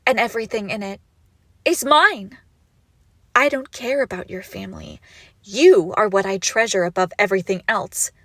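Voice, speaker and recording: A woman voicing an obsessive, icy and menacing crime-boss ex-girlfriend in an intimate audio roleplay, speaking deliberately with swings between whispers and outbursts. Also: mid-range voice, vocal fry, no room sound, clean studio sound. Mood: mocking, unhinged.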